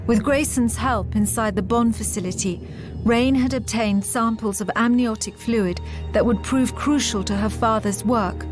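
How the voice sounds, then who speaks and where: An actress playing a serious, measured narrator who recounts a war in a video game. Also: female voice, on the high side, music in the background, natural voice. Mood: solemn. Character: solemn woman recounting a war from memory. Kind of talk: scripted dramatic game narration.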